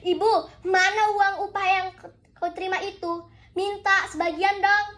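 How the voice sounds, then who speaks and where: Girl storyteller doing a bratty arrogant village daughter, super high voice, bossy and demanding. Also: little pitch movement, moderate pace, sharp tone. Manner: bossy, insistent.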